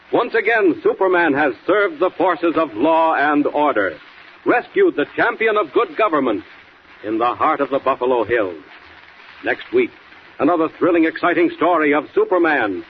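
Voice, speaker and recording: A man's voice: fairly high pitch, a little hiss or hum. A man playing a grandiose 1940s radio serial announcer, emphatic and theatrical, on a tinny old recording.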